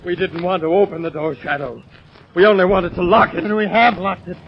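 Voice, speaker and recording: High, creaky, vengeful prisoner. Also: male voice, steady tone, a little fast. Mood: bitter, vengeful. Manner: strained, bitter, insistent. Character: vengeful, half-broken enslaved prisoner turned beast. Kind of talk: 1940s radio horror acting. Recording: muffled, noisy old radio recording.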